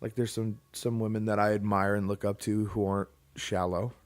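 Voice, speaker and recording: A man's voice: fairly low pitch, little pitch movement, a little fast. A man co-hosting a casual podcast, joking and teasing, often restarting his sentences. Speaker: podcast co-host. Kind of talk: casual banter.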